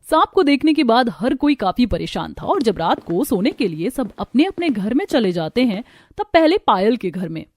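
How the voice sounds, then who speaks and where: A woman's voice: a little high, sing-song, moderate pace, a little mellow. A woman reading scripted narration for a cartoon village story. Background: background music.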